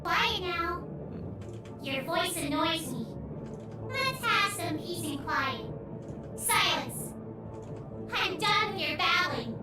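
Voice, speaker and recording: Video game heroine in trance form: very high, heavily echoed, annoyed and imperious. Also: female voice, expressive, heavily acted. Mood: irritated. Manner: slow, commanding, annoyed. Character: irritable woman in a powered-up trance form, weary and imperious. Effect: echoing power-up voice effect. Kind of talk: video game battle voice lines. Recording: heavily echoed, distant, loud music behind.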